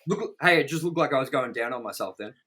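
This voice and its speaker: A man co-hosting a casual, comedic movie review, excitable and joking, with some swearing. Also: mid-range voice, quick pace. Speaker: movie review show host.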